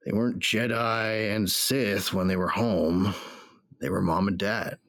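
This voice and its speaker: Deep-voiced grieving bounty hunter. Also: male voice, fairly even intonation, unhurried, a bit bright, no room sound, studio quality. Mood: somber reflection. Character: grieving, bitter bounty hunter narrating his memories. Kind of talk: first-person audio drama narration.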